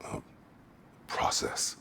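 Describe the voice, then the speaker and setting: An actor playing a stern, brooding Spartan warrior in a cinematic game, with terse, grave speech and a very deep gravelly voice. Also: male voice, very slow, in a whisper, heavily acted. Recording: long reverb, loud music behind.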